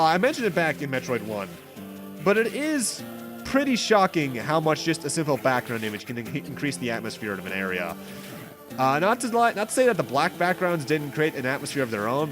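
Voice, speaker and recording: A man commentates casually over a video game he is playing. His mid-pitched voice moves up and down in an animated way as he rambles along with a slightly wry edge. Game music plays clearly behind him. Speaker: gaming commentator, host. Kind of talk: casual let's play commentary.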